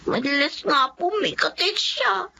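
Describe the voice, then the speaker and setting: A woman's voice: high voice, lively intonation, measured pace, gravelly, pushed and bright, full character voice. A woman playing a meek, polite and dutiful young daughter in a Filipino radio drama. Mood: worried. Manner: polite, deferential. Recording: dull lo-fi audio, faint noise.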